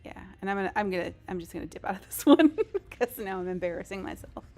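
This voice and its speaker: A woman's voice: mid-range voice, expressive, quick pace, darkish tone, soft background music. A woman live streamer chatting casually over a game, in a rambling, informal and self-deprecating way. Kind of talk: casual gaming stream chat.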